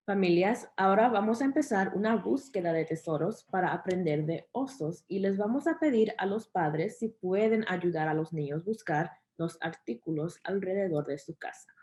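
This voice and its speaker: A woman's voice: lowish voice, animated, brisk, few pauses, darkish tone. A woman interpreting a children's program, relaying instructions and fun facts to families and kids.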